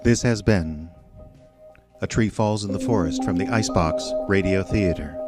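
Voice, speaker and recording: A man's voice: low-pitched, moderate pace. A man reading scripted end credits as the announcer of an audio drama. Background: loud music.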